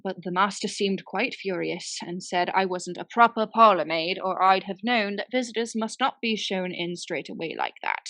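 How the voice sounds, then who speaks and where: An actress playing a naive, chatty young parlour maid in a radio play, gossipy and a little aggrieved. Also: female voice, medium pitch, creaky, fairly bright tone, dry recording. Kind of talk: dramatic radio-play acting.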